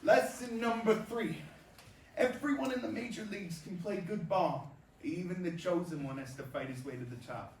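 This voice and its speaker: High-voiced cocky baseball veteran, played by a man. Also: normal speed. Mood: swaggering, coaching. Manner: brash, swaggering, slangy tough-guy talk. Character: cocky, crude, manipulative veteran baseball star mentoring a rookie. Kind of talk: staged reading of a play, theatrical dialogue. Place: indoor performance space, recorded from afar. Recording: roomy distant stage recording, background noise.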